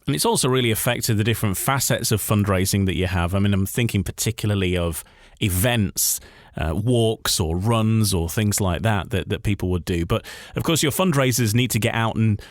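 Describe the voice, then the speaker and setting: Lowish-voiced male radio interviewer, dry sound. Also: moderate pace, few pauses, no echo.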